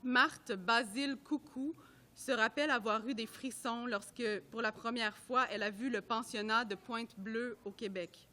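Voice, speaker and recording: A woman reading a report aloud at a public event, in a measured, careful way that sometimes trips over names. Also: fairly high pitch, steady tone, bright, forceful tone. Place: art gallery at a live event.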